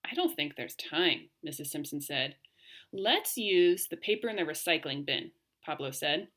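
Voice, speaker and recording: Female teacher for children's read-aloud; slightly low-set voice, highly animated intonation, clear phrasing. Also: normal speed. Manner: expressive, clearly phrased for young listeners. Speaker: teacher reading aloud to pupils. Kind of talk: read-aloud storytelling and lesson talk for children.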